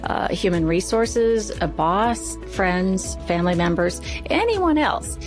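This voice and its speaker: Woman co-hosting a podcast, music underneath. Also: fairly low pitch. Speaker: podcast co-host. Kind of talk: podcast introduction and conversational discussion.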